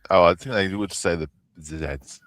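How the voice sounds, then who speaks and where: A man's voice: deep voice, normal speed, fairly bright tone, no room sound. A man on a live stream panel, teasing the host and coaching his pronunciation. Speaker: panel guest. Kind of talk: casual live-stream chat.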